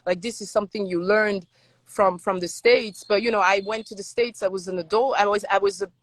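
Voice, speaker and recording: A woman's voice: mid-range voice, fairly even intonation, brisk, no room sound. Interview guest, woman from Iran, native Farsi speaker, earnest and emphatic, dry close sound. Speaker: interview guest, advocacy founder. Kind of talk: conversational interview.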